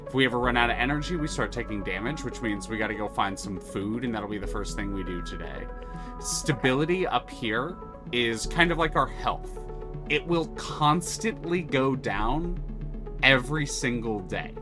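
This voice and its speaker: A man's voice: medium pitch, animated, normal speed. A man streaming a game, chatting casually and explaining the mechanics with enthusiasm and many restarts. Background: music.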